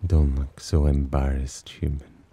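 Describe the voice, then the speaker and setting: A man playing a sly, possessive demon in an ASMR roleplay, speaking in a smug, teasing, softly commanding manner with a husky voice. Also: level tone, unhurried, heavily acted. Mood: teasing, calm. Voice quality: very deep, dark, husky. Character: possessive, amused, sly male demon protector. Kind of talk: ASMR roleplay, slow intimate acting.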